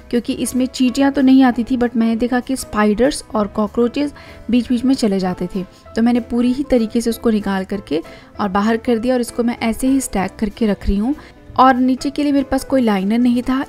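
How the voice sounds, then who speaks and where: Young woman from India, chatty step-by-step home-tips voice-over, slightly high and mellow. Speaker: home vlogger. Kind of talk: voice-over narration of a cleaning vlog.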